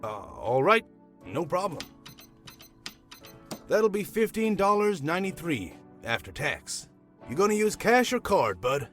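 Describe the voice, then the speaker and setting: Friendly shopkeeper, lightish animated voice. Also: male voice, on the high side, measured pace, long pauses, faint music behind. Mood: helpful. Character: friendly, teasing, reasonable male manga shop keeper. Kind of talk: audio drama acting.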